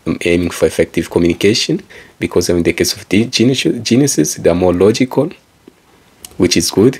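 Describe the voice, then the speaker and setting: Deep gravelly man, Kikongo native speaker. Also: a little mellow. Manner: rambling, circles back with repeated phrases; calls out to listeners like a presenter. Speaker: author and speaker. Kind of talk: motivational monologue.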